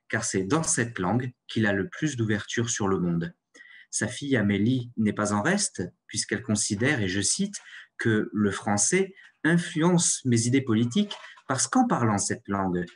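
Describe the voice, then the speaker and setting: A man hosting a radio program, reading a formal, measured script to listeners. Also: lowish voice. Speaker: radio show host.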